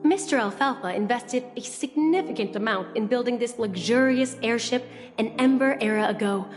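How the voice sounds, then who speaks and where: A woman's voice: on the high side, moderate pace, natural voice. A woman voicing a gracious, formal female airship attendant in a studio game, polite and ceremonious. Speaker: game character. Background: game music, reverb.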